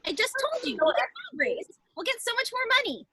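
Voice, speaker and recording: A woman plays an eager, headstrong young daughter in a drama table read. Her voice sits high and bright, with lively swings in pitch. She sounds set on getting her way over a video call. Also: a little fast, full character voice. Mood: pleading. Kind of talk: table read of fan fiction script.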